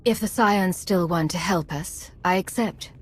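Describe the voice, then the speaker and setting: Woman as a low-voiced, cool commander. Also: measured pace, slight background noise, close to an everyday voice. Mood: calm, firm. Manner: terse, controlled. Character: cool, resolute female commander and secret agent.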